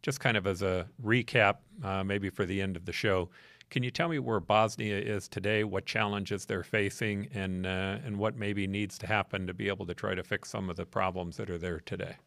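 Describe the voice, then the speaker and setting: An American man in his sixties, interviewing as a podcast host in a measured, conversational way. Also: low-pitched, steady tone, vocal fry, a bit bright, no echo. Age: 60s. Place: small wood-panelled podcast studio. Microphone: close boom-arm studio microphone.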